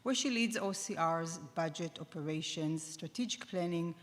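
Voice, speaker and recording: A woman moderating a summit, reading a speaker's introduction in a formal, measured way. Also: lowish voice. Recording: long hall reverb.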